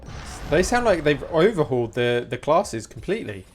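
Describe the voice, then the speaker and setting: A man's voice: fairly high pitch, very animated, normal speed, husky, soft background music. A man with a British accent streaming a game, giving casual, chatty commentary and reacting aloud as he goes. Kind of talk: casual live gameplay commentary.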